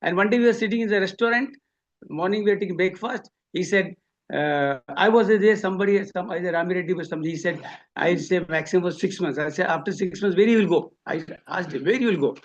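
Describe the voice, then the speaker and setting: A man's voice: high-pitched, fast talker. A man from India with an Indian accent gives a memorial tribute, telling personal anecdotes and acting out both sides of conversations, on a lo-fi recording. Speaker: devotee giving a memorial tribute. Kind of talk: spoken eulogy with personal anecdotes. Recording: dull lo-fi speech recording.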